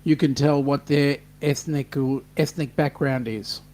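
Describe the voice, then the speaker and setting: A man's voice: a little high, steady tone, measured pace, darkish tone. A man with an Australian accent co-hosting a casual live chat, reading out viewer comments with plenty of ums and restarts. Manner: chatty, reads out comments with many ums and restarts. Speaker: co-host.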